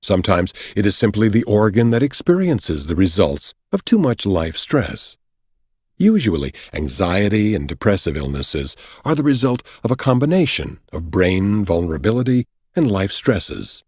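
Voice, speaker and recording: A man narrating a nonfiction audiobook from a script in a measured, deliberate, explanatory way, with a husky voice. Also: lowish voice, sing-song, darkish tone, dry recording, studio quality. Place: dry recording studio.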